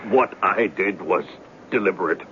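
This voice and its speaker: A man's voice: deep voice, normal speed, lightly acted. An actor playing a pompous, jealous fat man in a 1970s radio drama, speaking in grandiose, blustering phrases. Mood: grandly contrite. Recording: muffled, hissy 1970s radio broadcast recording.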